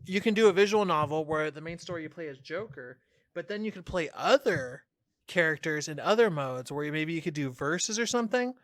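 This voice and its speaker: A man's voice: on the high side, animated, brisk, no echo. A man co-hosting a casual brainstorming chat, speaking informally and emphatically with some swearing. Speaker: podcast co-host.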